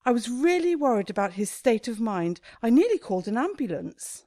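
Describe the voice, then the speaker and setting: An actress playing a brisk, self-important female shop owner in a radio comedy, haughty and expressive. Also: a little high, no echo, studio quality. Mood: concerned. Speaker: actor in amateur radio play.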